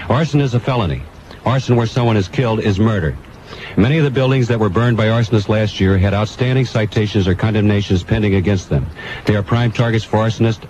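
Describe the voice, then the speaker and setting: An American man reads a prepared editorial on the radio. His voice sits a little low, and the 1970s recording is dull with faint background noise. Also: a little mellow. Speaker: station general manager.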